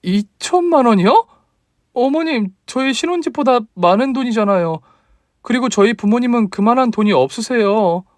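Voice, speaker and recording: A man's voice: very high-pitched, expressive, normal speed, darkish tone, no room sound, voice pushed up. A man voicing a young wife who is polite to her in-laws but stands firm and indignant, in a raised, lighter voice.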